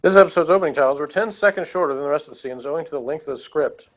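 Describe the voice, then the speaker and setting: A man's voice: on the high side, racing, dull audio. A man chatting casually as co-host of a review show, hesitant and grumbling as he gives critical opinions. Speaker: podcast co-host reviewing television.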